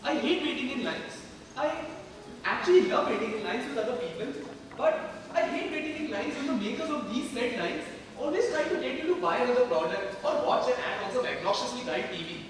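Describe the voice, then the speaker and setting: A man in an amateur stage play acting an anguished, weary parent at a child's hospital bedside, halting and pleading. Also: very high voice, big pitch swings, a little hiss or hum. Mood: agitated. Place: large hall stage. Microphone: distant microphone. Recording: echoey hall from far off, music.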